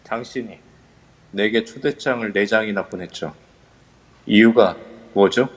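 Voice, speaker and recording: A man's voice: on the low side, unhurried, lightly acted. A man playing a defiant hero in an amateur audio drama, confrontational and demanding as he accuses his foe. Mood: accusing. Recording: long reverb throughout.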